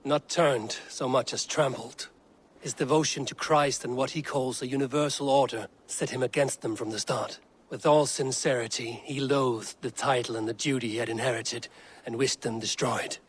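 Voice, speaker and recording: An actor playing a proud, loyal Viking warrior in a video game, speaking in a measured, solemn and formal way. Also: male voice, medium pitch, steady tone, breathy edge, lightly acted. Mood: grave, measured. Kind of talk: dramatic video game acting.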